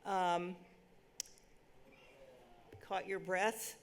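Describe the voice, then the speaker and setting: A woman's voice: lowish voice, little pitch movement, extremely slow, a little hiss or hum. A woman moderating a symposium panel, asking questions in a casual, friendly way.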